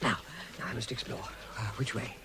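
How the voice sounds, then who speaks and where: An actor playing a timid, fretful hobbit in a radio fantasy drama, stammering and talking to himself. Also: male voice, mid-range voice, brisk, in a whisper, close to an everyday voice. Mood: lost, anxious. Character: timid, fretful hobbit finding sudden courage. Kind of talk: full-cast radio fantasy acting.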